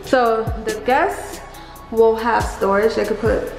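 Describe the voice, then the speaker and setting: A woman's voice: a little high, steady tone, slow pace, creaky. A woman vlogger casually chatting to camera in informal, slangy speech as she shows rooms on a house tour. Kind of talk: casual chat to camera while showing rooms. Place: empty rooms of a large house, indoors. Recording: echoey reverberant empty rooms, music behind.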